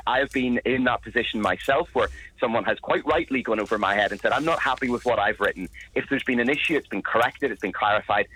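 Forceful male journalist. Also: medium pitch, slightly rough, dry recording, rough recording. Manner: forceful, indignant and emphatic. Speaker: journalist guest. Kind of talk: radio interview.

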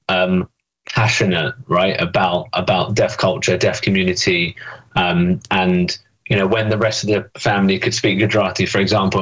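Low-voiced man, thoughtful. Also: a bit bright, poor audio. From England. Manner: thoughtful, many fillers and restarts. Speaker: interview guest. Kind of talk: reflective interview answer.

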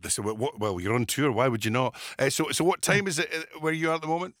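A man's voice: mid-range voice, fast, a bit bright, dry recording, clean studio sound. A man presenting live radio, chatty and upbeat, bantering with a colleague and a guest.

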